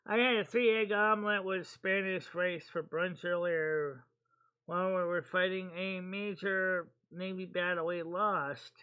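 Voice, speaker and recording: A man hosting a casual chat stream about tarot cards, talking in a rambling, halting way with many fillers and restarts. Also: sits high, steady tone, bright, forceful tone, smooth, no echo, lo-fi sound. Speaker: host.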